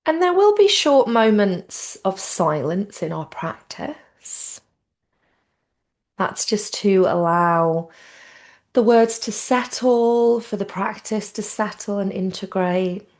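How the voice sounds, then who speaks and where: Slow-paced woman guiding relaxation. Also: mid-range voice, animated, a little mellow. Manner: soothing, unhurried, informal. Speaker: yoga nidra teacher. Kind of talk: guided relaxation, gentle spoken instruction.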